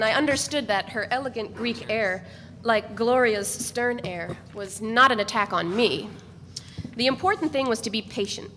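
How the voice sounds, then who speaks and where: A woman reading first-person literary narration aloud in a measured, even way. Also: fairly high pitch. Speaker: reader. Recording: echoey room with faint noise.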